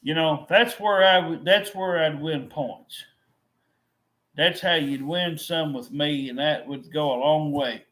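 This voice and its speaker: A man's voice: a little high, measured pace, fairly bright tone, poor audio. A middle-aged man venting to his viewers, frustrated and repetitive, often restarting his sentences. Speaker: vlogger. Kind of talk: rambling to-camera vent.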